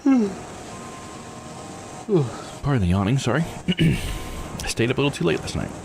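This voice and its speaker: A man's voice: mid-range voice, very animated, normal speed, creaky, background noise. A man streaming a visual novel, reading text aloud and adding casual commentary. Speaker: game streamer. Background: music behind the voice.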